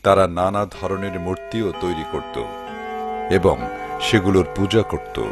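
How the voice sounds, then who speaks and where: A man's voice: low voice, steady tone, unhurried, music in the background. A man narrating a scripted story as the storyteller.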